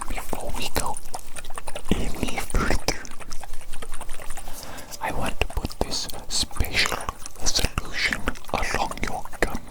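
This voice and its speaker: A woman's voice: slow pace, close to an everyday voice, low-quality recording. A woman playing a playful evil doctor in an ASMR medical roleplay, whispering breathily with cooing, repeated phrases. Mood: soothing, playful. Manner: repetitive, cooing, singsong phrasing. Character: playful evil doctor tending a big creature.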